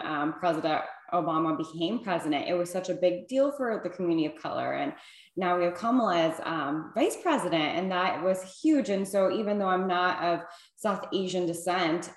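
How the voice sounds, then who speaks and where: Earnest low-voiced woman author, echoey room. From Illinois, USA. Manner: earnest, thoughtful, many fillers. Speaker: author and clinical psychologist guest. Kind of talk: interview answers.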